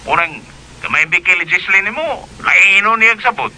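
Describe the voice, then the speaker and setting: Bright, high-pitched, playful radio-drama buddy. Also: male voice, normal speed, heavily acted, rough recording. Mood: amused teasing. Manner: animated, chuckling, teasing. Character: playful, laughing, teasing best buddy. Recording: faint noise behind the voice.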